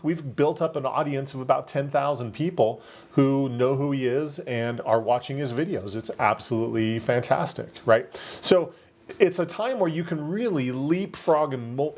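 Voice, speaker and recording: A man with a deep radio-type voice, speaking as a marketing coach in a casual, persuasive talk to camera, full of fillers. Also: a little mellow. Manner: upbeat, persuasive, many fillers. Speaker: marketing coach for real estate agents.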